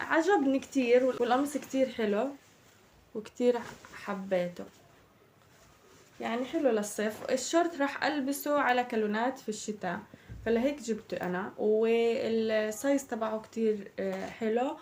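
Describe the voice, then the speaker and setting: A young woman with a Palestinian Arabic accent, chatting casually through a shopping haul, her voice slightly hoarse from a cold. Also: on the high side, slight background noise. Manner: chatty, addresses viewers as girls. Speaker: vlogger.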